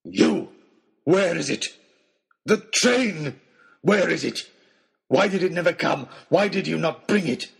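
A man's voice: on the high side, animated, slow pace, vocal fry, long pauses, breathy edge, voice pushed up, exaggerated voice. A man voicing a ragged, half-mad, angry man with a gun in a storybook reading, blustering and menacing. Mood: angry, accusing.

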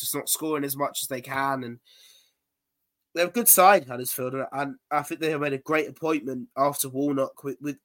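A young man chatting about football on a podcast, his voice sitting a little high. He rambles, restarting and repeating words as he goes. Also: a bit bright. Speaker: podcast co-host. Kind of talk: casual football podcast chat.

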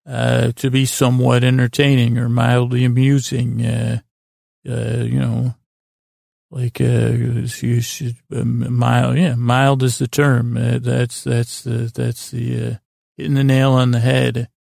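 A man hosting a bedtime podcast, rambling in a halting, meandering, self-deprecating and mildly silly way with a gravelly, creaky voice. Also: mid-range voice, monotone, a little mellow, no room sound, clean studio sound. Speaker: podcast host. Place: small closet.

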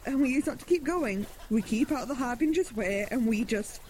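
Woman acting high-voiced, determined fantasy heroine. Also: animated, a little fast, darkish tone, lightly acted, low-quality recording. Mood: weary, practical. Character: determined, pragmatic female fantasy hero urging on a despairing comrade. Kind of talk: dark fantasy audio drama acting.

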